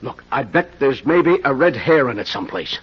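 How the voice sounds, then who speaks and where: An actor playing an excitable, simple pawnshop owner in an old radio crime drama, folksy, insistent and repeating himself. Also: male voice, medium pitch, moderate pace, natural voice. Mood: excited. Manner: folksy, uneducated, insistent and repetitive. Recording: dull, lo-fi old radio recording.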